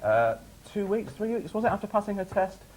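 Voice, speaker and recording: A man's voice: high voice, big pitch swings, a little fast, a little mellow, a little hiss or hum, poor audio. A man, a father, telling a funny family story on live TV, hesitant and chuckling. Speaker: guest, a father.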